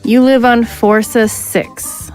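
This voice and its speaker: A woman's voice: a little high, fairly even intonation, slow pace, darkish tone, low-quality recording. A woman playing a brash, swaggering space smuggler pilot, cocky and teasing, in an audio drama with faint music behind. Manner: cocky, teasing, rule-bending.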